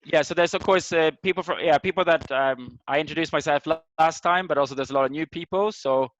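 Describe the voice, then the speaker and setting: Halting man hosting, dull call audio. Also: fairly high pitch, fairly bright tone. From Sweden. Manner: halting, searching for words. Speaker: host of an online community meeting. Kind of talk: informal welcome and introductions on a group call. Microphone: video call microphone.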